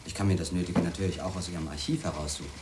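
An actor playing a brooding, insistent former magazine editor in a measured, introspective German radio play style. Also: male voice, low-pitched, fairly even intonation, heavy background noise, close to an everyday voice. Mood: insistent, questioning. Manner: measured, literary, introspective narration.

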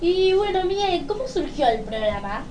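A woman's voice: extremely high pitch, very animated, moderate pace, darkish tone. A woman putting questions informally as a radio co-interviewer. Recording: faintly noisy room, distant microphone.

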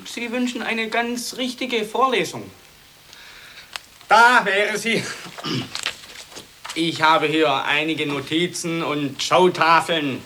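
An actor playing a courteous, hesitant but proud professor and inventor in an absurdist radio comedy, speaking formally. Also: male voice, on the high side, takes it slowly, pauses often, bright, forceful tone, a little hiss or hum. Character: modest, proud male professor and inventor at a learned academy. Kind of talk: 1980s German absurdist radio comedy acting.

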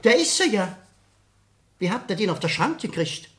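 Folksy Rhenish schoolteacher, swooping pitch. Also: male voice, very animated, normal speed, very noisy. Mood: indignant. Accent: Rhineland German. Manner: folksy, rambling, scolding. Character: grumbling, cranky male physics teacher scolding his pupils. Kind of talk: German radio play acting.

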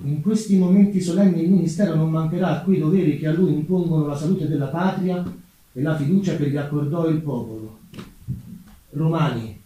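A man's voice: a little high, mellow, natural voice. A man playing a grandiose government minister in a stage monologue, proclaiming to the people in a pompous, declamatory way. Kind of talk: theatrical proclamation. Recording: very echoey room, distant mic, faint noise.

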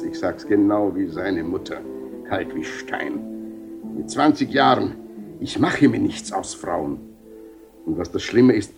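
An actor playing an exasperated older father in a theatrical 1970 German radio play, husky and full of complaint. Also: on the low side, a little mellow. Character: exasperated, aggrieved older Spanish father of a grown son.

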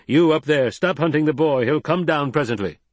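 A man voicing a stern, proud leader of a desert tribe, grave and forceful. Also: mid-range voice, normal speed, no echo, lightly acted. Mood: commanding.

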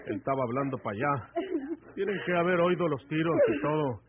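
An actor playing a ruthless outlaw bandit with a northern Mexican accent in an old radio serial, speaking in a rustic, commanding way. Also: male voice, fairly high pitch, fairly quick, crowd noise, lightly acted. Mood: matter-of-fact menace. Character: ruthless male outlaw bandit, cold-blooded and threatening. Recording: tinny, band-limited old AM radio recording.

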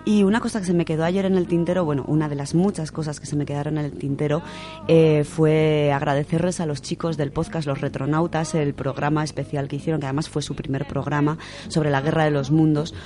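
A man's voice: on the high side, little pitch movement, fairly quick, dark tone. A man with an accent from Spain co-hosting a radio show in an enthusiastic, casual, chatty way. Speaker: podcast co-host presenting a literature section.

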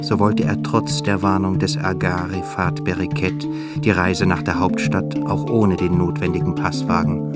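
A male narrator reads scripted literary prose for a radio drama. His voice is low, and loud plucked-string music plays along behind it.

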